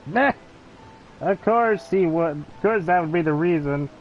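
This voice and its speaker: Guy streamer with a pretty high voice, casual halting reactions, muffled mic over game music. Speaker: livestreamer. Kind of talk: casual gameplay commentary.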